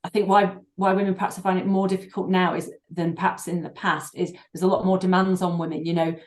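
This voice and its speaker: A middle-aged woman, a doctor and breathing therapist, speaking in a podcast interview, thoughtful and halting with many fillers and restarts. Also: fairly low pitch, little pitch movement. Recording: echoey room.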